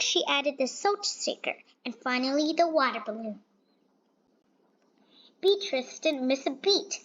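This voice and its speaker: A woman with a high-pitched voice reads a children's storybook aloud. Her delivery is expressive, with small stumbles and repeated words here and there. The recording is dull and lo-fi. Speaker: storybook reader. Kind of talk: children's picture book read-aloud. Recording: dull, lo-fi sound.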